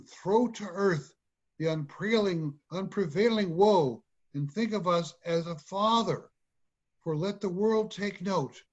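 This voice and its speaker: A man playing a smooth, imperious middle-aged king in a formal, declamatory Shakespeare reading over a video call. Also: sits high. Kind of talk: dramatic reading of Shakespearean verse. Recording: dull lo-fi video call audio.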